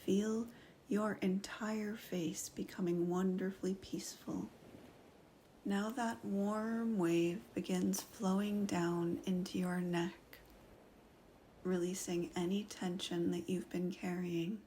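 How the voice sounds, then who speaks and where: Woman doing a guided meditation, super slow and breathy-whispery, faint hiss behind her. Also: medium pitch, little pitch movement. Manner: soft, slow, often whispered.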